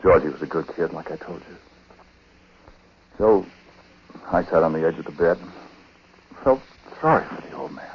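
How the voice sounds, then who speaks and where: A very deep-voiced troubled, remorseful husband tells his story in a halting, conversational manner. It is 1940s radio drama, on a dull recording with light noise. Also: expressive. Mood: subdued. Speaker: lead actor and narrator. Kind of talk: 1940s radio acting, first-person confessional narration.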